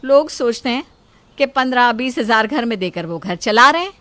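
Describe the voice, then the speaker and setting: Sharp-tongued daughter-in-law, played by a woman storyteller, high-pitched, taunting, complaining, family drama tale. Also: animated, moderate pace, no echo. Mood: scornful nagging.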